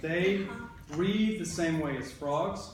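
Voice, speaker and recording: A man with a fairly high voice teaches young children through an interactive read-aloud. He instructs, rephrases and puts questions to his listeners. The recording is distant and strongly reverberant, with clear background noise. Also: slow. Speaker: elementary school teacher. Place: indoor classroom. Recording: roomy, echoey, distant mic with background noise.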